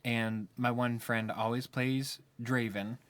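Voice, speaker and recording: A man with a mid-pitched voice talks casually among friends on a podcast. His manner is playful and informal, like someone swapping stories around a table. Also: fairly even intonation, slow pace, a bit bright, smooth, no room sound. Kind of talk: casual group podcast chat.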